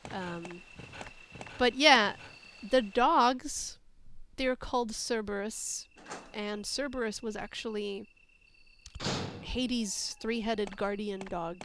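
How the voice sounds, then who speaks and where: A woman's voice: mid-range voice, slow pace, a bit bright, slight background noise. A young Swedish-born woman with an American accent, chatting casually while streaming a game. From Sweden. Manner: chatty, laughing, explaining trivia. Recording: music playing behind.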